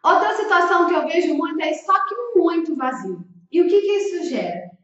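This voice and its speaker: A Brazilian woman with a high voice presents an instructional vlog in an emphatic, didactic way. She sounds set back from the microphone, and the room rings with heavy echo. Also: lively intonation, fairly quick. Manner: emphatic, didactic, with rhetorical questions. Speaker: food-service consultant and video host. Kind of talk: instructional vlog addressed to restaurant owners. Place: small indoor room with bookshelf. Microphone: large microphone with pop filter in front of her. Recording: echoey room, distant, slightly dull sound.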